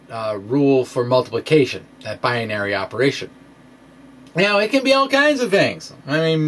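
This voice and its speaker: A man presenting his own science blog aloud in an informal, explanatory way, with a halting, theatrical, emphatic delivery. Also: fairly high pitch. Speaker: science video blogger.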